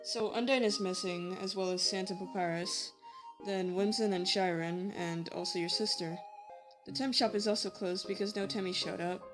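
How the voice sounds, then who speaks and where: A woman's voice: medium pitch, steady tone, moderate pace, music in the background, lightly acted. A woman playing a serious, caring young human child in an audio drama, with a plain, earnest way of talking.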